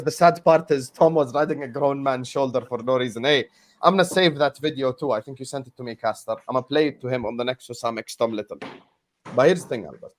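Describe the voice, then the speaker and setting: A man hosting a football stream, arguing his points emphatically and repeating words as he gets excited. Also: mid-range voice. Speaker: host of a football transfer stream. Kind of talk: casual football debate on a livestream.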